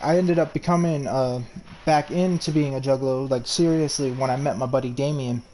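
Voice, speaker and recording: A man's voice: medium pitch, steady tone, a little fast, dark tone, a little hiss or hum. A man in his early twenties from New York, telling crude, boastful stories in a casual voice chat with lots of restarts. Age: 20s. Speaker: gamer in voice chat.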